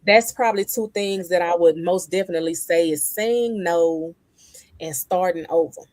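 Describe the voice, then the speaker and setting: A woman hosting a casual panel conversation who tells stories with lively energy and acts out other people's voices. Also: lowish voice, normal speed, no room sound. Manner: animated storyteller who acts out quoted voices. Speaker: panel host.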